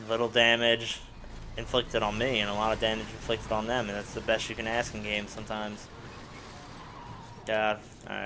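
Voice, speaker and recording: Man, raspy sick voice, bright tone, rambling gameplay commentary, faint game music behind. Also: medium pitch. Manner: rambling, excitable, many fillers. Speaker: let's play gamer. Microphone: desk microphone at home.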